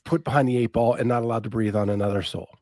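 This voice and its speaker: A man's voice: mid-range voice, fairly even intonation, rapid-fire, dark tone, dry recording, clean studio sound. A man hosting a podcast, asking easygoing questions and reading out listener comments. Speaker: podcast host. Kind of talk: casual podcast interview.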